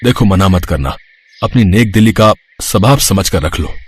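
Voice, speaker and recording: A man voicing a kindly, pious old man in an animated moral story, speaking with halting, hesitant openings. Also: low voice, breathy edge. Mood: grateful, insistent. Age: older.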